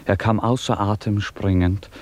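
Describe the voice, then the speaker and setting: A man plays the narrator of an old literary tale on radio. His voice is low and mellow. He tells it in a calm, formal, measured way. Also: close to an everyday voice. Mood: measured narration.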